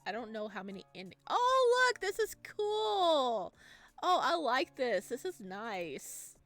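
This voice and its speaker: A woman reading a video game's text aloud and chatting with expressive, excited reactions as she plays. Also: sits high, takes it slowly, no echo. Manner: chatty, expressive asides between read lines. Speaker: video game streamer, let's player. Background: game music.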